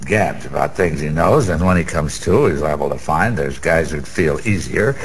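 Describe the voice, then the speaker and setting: An actor playing a boozy, boastful middle-aged Broadway gambler in a radio drama, rambling in streetwise slang with a wisecracking manner. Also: male voice, very deep voice, expressive, moderate pace. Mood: boozy, bragging. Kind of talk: 1990s radio drama acting. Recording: reverberant hall, faint background noise.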